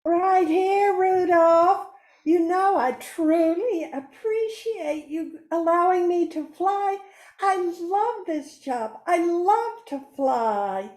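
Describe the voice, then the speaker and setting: A woman's voice: very high-pitched, animated, takes it slowly, darkish tone, exaggerated voice. A woman playing a cheerful, laid-back reindeer with an easygoing drawl in an amateur radio play. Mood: cheerful, eager. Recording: roomy echo.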